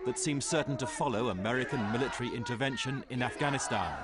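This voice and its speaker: Male news reporter over loud crowd noise. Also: medium pitch, fast talker. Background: loud crowd, street noise.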